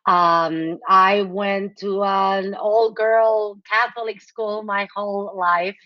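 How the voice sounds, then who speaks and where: A woman in her forties from Mexico, a guest chatting casually in an interview, warm and talkative with many fillers and frequent laughs. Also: medium pitch, steady tone, takes it slowly, no room sound, dull audio. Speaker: guest, nonprofit executive director.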